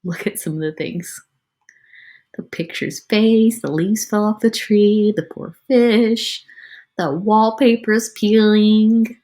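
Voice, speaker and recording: A woman's voice: mid-range voice, steady tone, slow pace, dark tone. A woman teacher reading a picture book aloud to children, expressively, with chatty asides.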